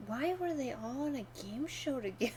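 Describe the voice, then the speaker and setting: A woman's voice: high-pitched, expressive, moderate pace, a little mellow, a little hiss or hum. A woman streaming a video game, chatting casually and reading on-screen text aloud as she plays.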